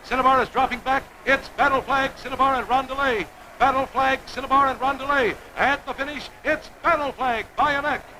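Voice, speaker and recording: An actor playing an excitable horse race announcer in a radio play, calling in clipped, urgent phrases. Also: male voice, extremely high pitch, fairly quick, a little hiss or hum, full character voice, rough recording.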